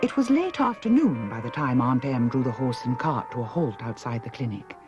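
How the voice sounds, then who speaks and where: A woman with a British accent reading a children's story as an expressive audiobook narrator. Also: very deep voice, normal speed, lo-fi sound, music in the background. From United Kingdom.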